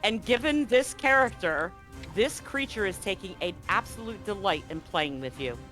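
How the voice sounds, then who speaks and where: A man's voice: high-pitched, expressive, normal speed, sharp tone, faint music behind, poor audio. A man working as game master, switching between dramatic scene-setting and casual rulings in a tabletop roleplaying game. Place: live online stream.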